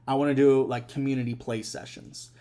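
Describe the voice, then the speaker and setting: A man's voice: mid-range voice, little pitch movement, a little fast, dark tone. A young adult man hosting a casual live stream, rambling with many fillers and restarts. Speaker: streamer and host.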